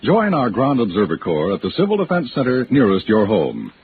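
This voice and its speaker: A man's voice: fairly low pitch, wildly swooping pitch, fairly quick, noisy background. A man announcing a 1950s radio program from a script in a formal, emphatic manner. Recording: old narrow-band radio recording with music.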